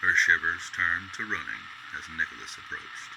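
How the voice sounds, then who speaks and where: A man's voice: low-pitched, expressive, moderate pace. A man reading scripted, storybook-style narration for a radio drama. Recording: clearly noisy background.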